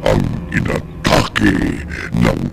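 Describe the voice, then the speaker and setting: A man playing a sinister horror storyteller on a radio drama, gravelly and deep, with a drawn-out, theatrical, ominous delivery. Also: exaggerated voice. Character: sinister slow-talking horror storyteller with a menacing laugh. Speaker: narrator and host of a horror radio series. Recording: loud music under the voice.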